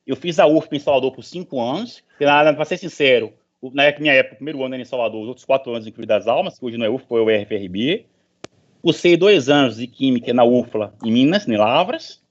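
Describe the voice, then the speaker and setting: A middle-aged professor, a man addressing an online meeting in an informal, emphatic, motivational way, repeating phrases for stress. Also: medium pitch. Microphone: online meeting call. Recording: dull lo-fi online call audio.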